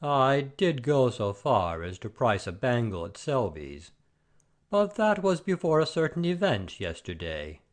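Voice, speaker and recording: An actor playing a proud, sullen gentleman gambler, curt and stubborn, in a satirical audio play. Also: mid-range voice, big pitch swings, unhurried. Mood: sheepish.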